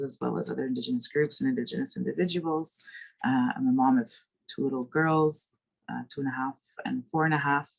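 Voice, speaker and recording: A woman lawyer from Canada in her thirties, introducing herself on an online panel in a clear, matter-of-fact way over a video call. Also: extremely low pitch, rough recording. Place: home in Saskatoon.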